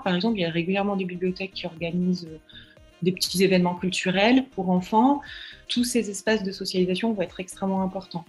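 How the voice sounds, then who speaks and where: A woman speaking as a child language expert, explaining with earnest, patient advice and frequent hesitations. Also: lowish voice. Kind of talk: advice interview.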